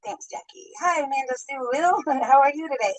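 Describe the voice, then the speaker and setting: A woman's voice: sits high, fairly quick, dry recording, low-quality recording. A woman chatting casually on a livestream, greeting viewers by name and rambling from topic to topic. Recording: sped-up archived livestream audio.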